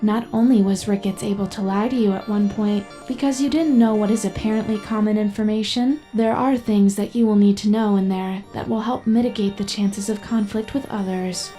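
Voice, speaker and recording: An actress voicing a composed female AI companion who speaks and narrates in a measured, precise way in an audio drama. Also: medium pitch, fairly even intonation, mellow, close to an everyday voice. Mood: precise, helpful. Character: Evie, calm female AI companion and storyteller. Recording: music behind the voice.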